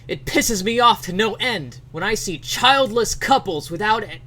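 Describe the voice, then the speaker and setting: A man's voice: animated, normal speed, sharp tone, full character voice. A man voicing an enraged, entitled, foul-mouthed mother in a whiny, overwrought dramatic reading. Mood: outraged. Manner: shrill, dramatically overwrought ranting.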